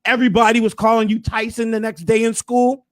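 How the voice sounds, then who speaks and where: A hoarse man hosting a casual recap, hyping things up with preacher-like flourishes. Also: extremely high pitch, level tone, fairly quick, a bit bright, no echo, clean studio sound. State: hoarse, losing his voice. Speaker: host.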